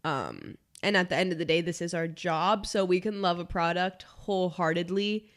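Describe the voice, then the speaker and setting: A woman's voice: fairly low pitch, normal speed. Congested young woman chatting. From Miami, Florida. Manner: chatty, many "like" fillers. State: congested, stuffy nose. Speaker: podcast co-host. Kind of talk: casual podcast chat.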